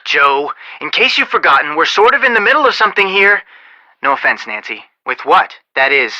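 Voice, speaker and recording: A man's voice: on the high side, pushed and bright, no echo, dull audio, exaggerated voice. An actor playing an earnest young male detective in a video game, articulate and dryly sarcastic in a conversational way. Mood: mildly exasperated.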